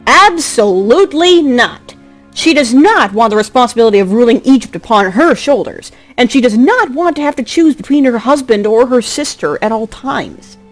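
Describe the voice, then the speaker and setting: A woman narrating a scripted drama as a storyteller, expressive, with a wry touch. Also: a little high, moderate pace. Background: music.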